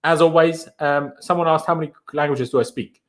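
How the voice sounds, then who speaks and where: A young man, German by mother tongue, hosts a football live stream and reads out viewer questions. He speaks English with a German accent in a slightly high, chatty and animated voice. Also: fairly quick. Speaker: football channel host.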